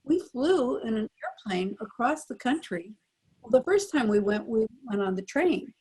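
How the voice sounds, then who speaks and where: A woman's voice: a little high, expressive, moderate pace, warm tone, husky, low-quality recording. An older woman, a panel guest, casually reminiscing about her past. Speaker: panel guest, former child radio performer.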